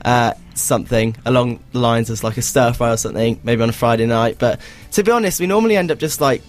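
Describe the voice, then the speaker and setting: A teenage lad talks easily as a radio guest, laughing often between answers. His voice sits at an ordinary pitch, with a soft bed of music behind him. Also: fast talker. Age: teens. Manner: easygoing, laughs often, relaxed explanations. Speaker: guest athlete. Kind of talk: casual chat.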